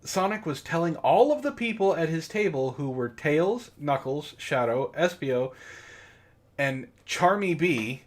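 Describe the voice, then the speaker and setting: A man reading fan fiction aloud for comedy, mocking it and breaking off for sardonic asides. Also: on the high side. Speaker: book club host and reader.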